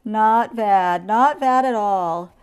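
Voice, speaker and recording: A woman's voice: medium pitch, takes it slowly, smooth, natural voice. A woman voicing a wry, sceptical but helpful anteater with dry understatement in a children's story. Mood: wryly pleased.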